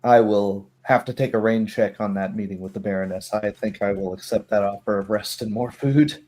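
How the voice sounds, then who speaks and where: A man voicing a grateful, humble woman who works at a dairy, speaking politely and haltingly in a tabletop game. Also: fairly low pitch, fairly even intonation, a little mellow, natural voice.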